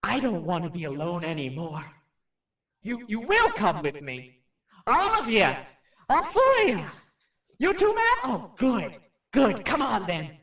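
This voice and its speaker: An actor in a radio play, playing a frightened, hysterical radio personality who rambles excitably at unseen figures. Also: male voice, sits high, unhurried, long pauses, distant mic, heavily acted. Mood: manic, eager. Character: frightened, hysterical male radio personality unravelling in a haunted house. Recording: roomy echo, away from the microphone.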